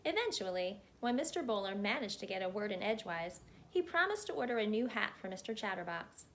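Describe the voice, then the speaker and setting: A woman reading a children's picture book aloud as a storyteller, in an expressive narrating style. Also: mid-range voice, fairly quick, a little hiss or hum.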